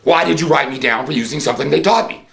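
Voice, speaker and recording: An American man giving an unscripted political monologue, theatrical in manner, with rhetorical questions and drawn-out emphasis. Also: on the high side, vocal fry, fairly bright tone. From United States. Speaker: host of a political commentary show.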